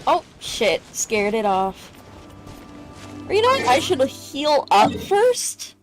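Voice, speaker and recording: A woman's voice: high-pitched, huge pitch swings, slow pace. A woman giving casual gameplay commentary, talking informally as if to herself. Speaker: gamer, streamer. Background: game music.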